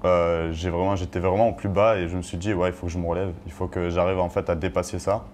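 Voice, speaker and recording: A man's voice: low voice, quick pace, a little hiss or hum. A young man with a southern French accent, answering interview questions casually with frequent hesitations in a bar. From southern France. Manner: relaxed, hesitant with many fillers. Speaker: guest.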